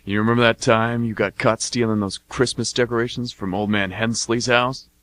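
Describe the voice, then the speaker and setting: A man's voice: mid-range voice, steady tone, normal speed, a bit bright, no room sound, close to an everyday voice. A man playing a laid-back mercenary older brother in an amateur radio drama, with a casual, folksy delivery. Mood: fondly teasing.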